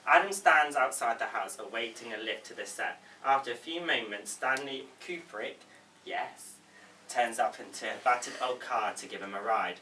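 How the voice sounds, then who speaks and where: A man's voice: mid-range voice, moderate pace, bright, forceful tone. A man reading out stage directions as informal narration at a table read. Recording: roomy, distant mic with background noise.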